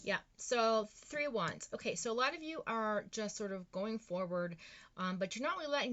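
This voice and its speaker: A woman's voice: mid-range voice, fairly bright tone, highs cut off. A woman giving a casual tarot reading, rambling and encouraging, with lots of fillers and restarts. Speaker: tarot reader.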